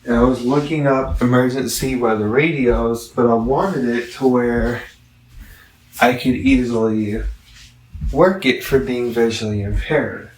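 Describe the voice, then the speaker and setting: Slow-talking man in an echoey room. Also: medium pitch, fairly even intonation. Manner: halting, digressive delivery with long pauses. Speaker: product reviewer. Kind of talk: casual rambling product review vlog. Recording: roomy echo, distant microphone.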